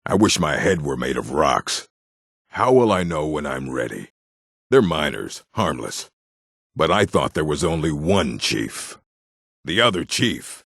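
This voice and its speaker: An actor playing a gruff, proud orc in a fantasy video game, blunt and stern, with a deep, husky, gravelly voice. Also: male voice, sing-song, unhurried, a bit bright, no echo, studio quality, heavily acted. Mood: plain, brooding. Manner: blunt, stern, terse, solemn. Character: gruff, proud, hostile male orc of a stronghold.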